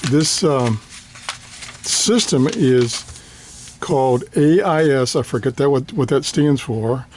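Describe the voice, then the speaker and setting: Older man, slightly hoarse, casual hobby vlog, hesitant, rambling, lots of ums. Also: medium pitch, a little mellow. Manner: rambling, hesitant, many fillers and restarts. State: slightly hoarse, clearing throat. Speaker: ham radio hobbyist vlogger. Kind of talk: casual show-and-tell.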